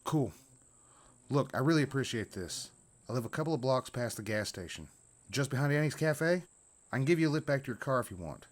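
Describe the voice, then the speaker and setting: A man voicing a frightened, winded male jogger around forty with a halting, pleading manner in a horror story. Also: medium pitch, animated, voice pushed up, close to an everyday voice. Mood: uneasy, grateful.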